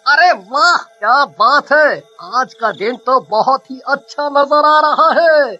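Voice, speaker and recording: A scheming cartoon treasure hunter, pitched very high and sharp. He is greedy and cowardly, and everything comes out exaggerated and theatrical. The sound is slightly dull. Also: takes it slowly, soft background music, exaggerated voice. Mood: gleeful, greedy. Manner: exaggerated, theatrical, stammering when scared. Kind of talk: Hindi cartoon dialogue.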